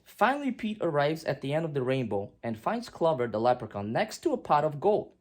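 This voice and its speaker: Lady reading a kids book out loud, lowish husky voice, really expressive. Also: a little fast. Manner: expressive, with emphatic swings and hushed drops. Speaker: storyteller reading aloud. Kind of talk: animated children's picture-book read-aloud.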